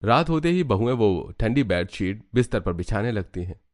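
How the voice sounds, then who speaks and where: Low-voiced, animated male storyteller. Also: normal speed, dry recording, studio quality, soft background music. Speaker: narrator. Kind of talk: scripted cartoon story narration in Hindi.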